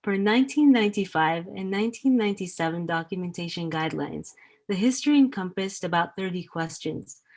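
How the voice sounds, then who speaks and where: A woman's voice: fairly low pitch, lively intonation, brisk, darkish tone. A woman panelist reading out a scripted, formal explanation for an educational program.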